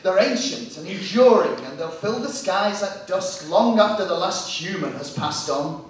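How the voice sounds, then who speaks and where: Animated, echoey man playing Graham, grumpy uneasy boyfriend. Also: sits high, normal speed. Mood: brooding. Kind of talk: live dramatic performance of a short story. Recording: distant, very echoey hall, faint noise.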